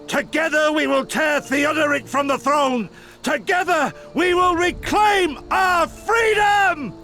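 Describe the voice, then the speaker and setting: An actor playing an impassioned rebel leader in a fantasy game, speaking grandly and deliberately like a practised orator. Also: male voice, very high voice, sharp tone, faint music behind, full character voice. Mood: rousing, defiant. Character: impassioned male rebel leader, rousing orator, world-weary mentor to soldiers. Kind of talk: theatrical fantasy game acting.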